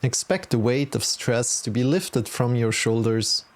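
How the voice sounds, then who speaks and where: A man guiding a yoga nidra meditation as an instructor, soothing and gentle, leaving long pauses between short instructions. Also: medium pitch, lively intonation. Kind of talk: guided meditation, slow body scan.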